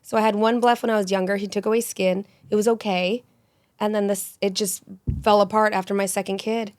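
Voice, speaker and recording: A woman in her forties talking as a podcast guest, pitched in the middle of the range. She tells stories with animation and speed. The sound is dry and close. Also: dry recording. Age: 40s. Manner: animated, rapid storytelling. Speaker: med spa injector guest. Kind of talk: casual podcast conversation.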